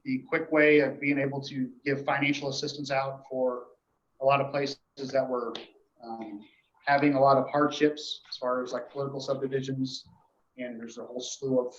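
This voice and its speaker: A man gives an informal report to a board at a meeting, explaining things in a halting, matter-of-fact way. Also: medium pitch, steady tone. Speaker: emergency dispatch official reporting to a board. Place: indoor meeting room. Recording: echoey meeting room, dull muffled audio.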